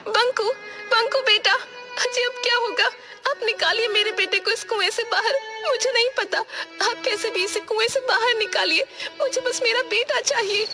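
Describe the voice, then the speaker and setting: Woman playing a frantic, high-pitched pleading mother. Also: moderate pace, music in the background. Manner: urgent, tearful pleading. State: crying. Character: frantic distressed village mother, pleading for her son.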